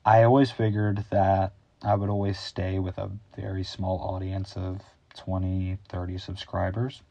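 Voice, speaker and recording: Deep-voiced teenage guy rambling slowly. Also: level tone, mellow, dry recording. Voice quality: deep, bass-heavy voice. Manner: rambling, improvised, a little awkward. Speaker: YouTube host. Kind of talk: casual unscripted chat.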